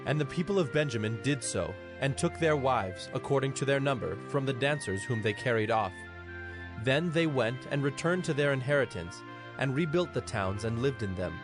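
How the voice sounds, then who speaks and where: A man's voice: medium pitch, lively intonation, normal speed. A man giving a scripted narration of a dramatized scripture audio production. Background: background music.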